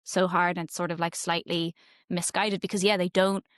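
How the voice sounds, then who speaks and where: A woman's voice: fairly low pitch, little pitch movement, normal speed, no echo, clean studio sound. A young woman, hosting a podcast interview in a chatty, rambling way with many "like"s and easy laughter. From Ireland. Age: young adult. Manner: chatty and rambling, heavy filler "like", laughs easily.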